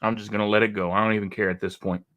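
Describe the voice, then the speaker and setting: Texan man, lowish voice, rambles and sneers through casual reaction commentary. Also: flat delivery, rapid-fire, a bit bright. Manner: rambling, mocking, frequent restarts. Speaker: reaction video host.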